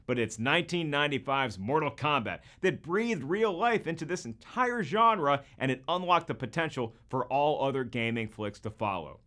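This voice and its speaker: A man hosting a show, delivering scripted entertainment commentary in an animated, emphatic manner. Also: on the high side. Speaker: show host.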